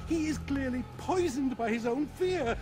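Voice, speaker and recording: An actor voicing a frightened, pleading cleric in a video game, stammering and deferential. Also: male voice, very high-pitched, moderate pace. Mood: desperate. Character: frightened, pleading male cleric protesting his innocence. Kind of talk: video game drama acting. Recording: dramatic music behind the voice. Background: music.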